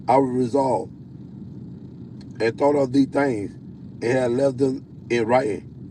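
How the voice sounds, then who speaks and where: A man with a deep voice preaching on a livestream. He reads scripture slowly, stumbling and repeating words. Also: dark tone, a little hiss or hum, rough recording. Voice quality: self-described deep voice. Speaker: self-styled prophet hosting a livestream.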